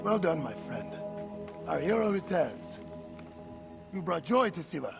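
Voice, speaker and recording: An actor playing a jovial Egyptian friend of the hero in a video game cutscene, hearty and teasing. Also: male voice, on the high side, big pitch swings, unhurried, creaky, full character voice. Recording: music behind the voice.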